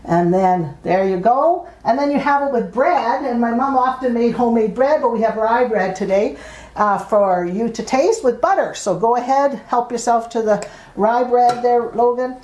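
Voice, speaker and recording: A woman's voice: a little high, normal speed, darkish tone, poor audio. An older woman from Canada, an author and host, reading aloud and presenting a home cooking demonstration. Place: indoors at a dining table in a home.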